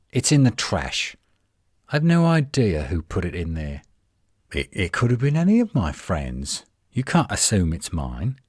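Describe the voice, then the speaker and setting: Man acting a low, husky, prickly werewolf. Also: darkish tone, no echo, studio quality, exaggerated voice. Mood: flustered, defensive. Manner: indignant, evasive, sarcastic. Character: gruff, defensive tsundere male werewolf, flirtatious and prickly. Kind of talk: roleplay audio drama monologue.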